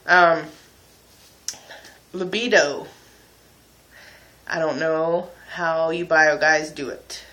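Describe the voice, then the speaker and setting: Young man, video blogger. Bright, forceful tone, candid casual chat, pokes fun at himself. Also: on the high side, steady tone, drawn-out words, long pauses, poor audio. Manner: candid, informal, self-mocking. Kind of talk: casual confessional chat to viewers.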